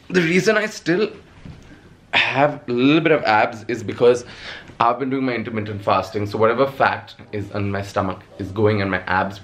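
A young man from Nepal with an English accent shaped by India, chatting expressively to camera from his bedroom. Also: lowish voice. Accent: Indian-influenced English. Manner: animated, expressive, gestures a lot while talking. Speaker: vlogger. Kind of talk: casual vlog answering viewer questions. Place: bedroom at home, indoors.

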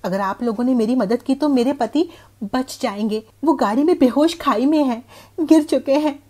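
A woman's voice: high voice, moderate pace, warm tone, pitched above the performer's own voice. A woman voicing an injured young wife pleading urgently in a cartoon horror story, on a noisy recording. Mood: desperate. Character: injured, desperate young wife begging for help.

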